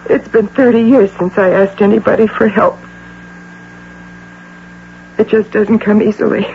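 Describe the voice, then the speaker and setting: A woman's voice: mid-range voice, steady tone, a little slow, gravelly. An actress playing a proud, curt, middle-aged executive desperate over her missing daughter, in an intense radio drama style. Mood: pleading. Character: imperious, high-powered businesswoman desperate over her missing imagined daughter. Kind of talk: 1980 radio drama acting. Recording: tinny, hissy old radio broadcast.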